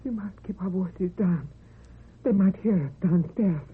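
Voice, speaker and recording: A woman's voice: mid-range voice, measured pace, close to an everyday voice. An actress playing a timid, lonely young woman, hesitant, dreamy and earnest, in old-time radio drama style. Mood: hushed amazement. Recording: dull lo-fi old radio recording, hiss.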